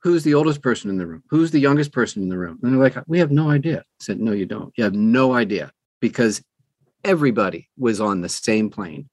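A man's voice: medium pitch, lively intonation, fairly quick, a little mellow, no echo. An older man, an audiobook narrator, talking conversationally as an interview guest and telling anecdotes.

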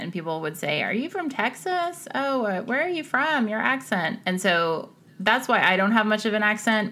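A woman's voice: on the low side, creaky, bright, forceful tone. A woman from the Midwest co-hosting a podcast in a casual, chatty way, talking from home over a laptop video call and laughing easily. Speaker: podcast co-host. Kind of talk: casual chat.

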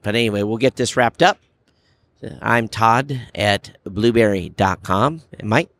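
A man hosting a podcast in a casual, chatty style, with halting phrasing. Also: on the low side, fairly bright tone. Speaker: podcast host. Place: booth in a very large trade show hall. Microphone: podcast microphone.

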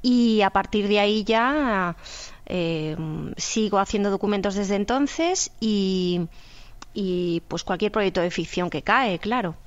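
A woman's voice: mid-range voice, slow, highs cut off. A middle-aged woman with a Spanish accent from Spain, recalling her work as an interview guest in conversation. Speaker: interview guest, radio fiction producer. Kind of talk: conversational recollection.

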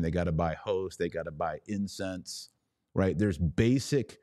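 A man with an American accent and a low voice speaks in a very dry acoustic. He hosts a live religious podcast, taking questions from viewers. His delivery is didactic and emphatic, spelling out each point like a teacher. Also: dark tone, dry recording.

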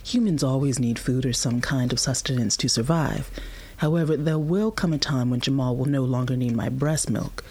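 A woman playing a patient, tired mother in an audio drama, explaining things plainly and with gentle firmness in a husky voice. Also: a bass voice, normal speed, slight background noise. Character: patient, tired human mother of a nursing infant.

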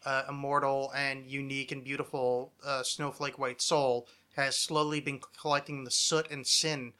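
A man's voice: mid-range voice, flat delivery, normal speed, bright, forceful tone, clear, resonant tone. A man in his thirties chatting casually and ramblingly as a podcast host, with a wry, mock-dramatic, self-deprecating manner.

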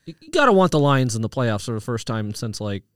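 A man's voice: mid-range voice, big pitch swings, fast, clear, resonant tone, no echo, clean studio sound. A man on a podcast panel chiming in casually during sports banter with friends.

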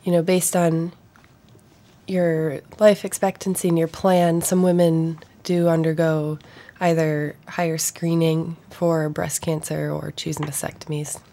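A woman's voice: low-pitched, level tone, a little slow, mellow. A woman physician guest on a radio interview, speaking cautiously, qualifying her claims and using many fillers.

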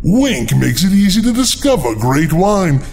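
Macabre podcast ghoul, taunting, theatrical, gleeful, raised pitch, very animated swoops. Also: male voice, measured pace, creaky, faint music behind, exaggerated voice. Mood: sly, gleeful. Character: gleeful, mocking horror-host ghoul who cackles at kiddies. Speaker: horror podcast announcer. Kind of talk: scripted macabre announcement with puns.

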